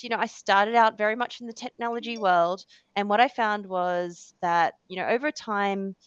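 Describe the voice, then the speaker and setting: A woman's voice: medium pitch, a bit bright, smooth, no room sound. A woman in her forties with an Australian accent, giving a chatty, self-deprecating webinar talk with many fillers. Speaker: guest speaker. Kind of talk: webinar presentation. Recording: dull, dry lo-fi sound.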